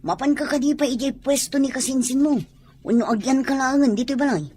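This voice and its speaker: A woman's voice: sits high, steady tone, fairly quick, dark tone, breathy edge. A woman playing an affectionate, hardworking young market porter husband in an audio comedy drama.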